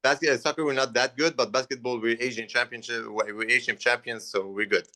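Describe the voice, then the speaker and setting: A man's voice: mid-range voice, steady tone, sharp tone. Earnest Lebanese-accented young man. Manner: earnest, halting with restarts. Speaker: guest boxer. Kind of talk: interview answers.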